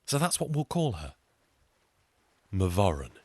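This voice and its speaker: A man's voice: lowish voice, huge pitch swings, measured pace, darkish tone, no echo. A man with a British accent telling a scripted comic story in a wry, chatty way, full of asides. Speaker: storyteller and singer.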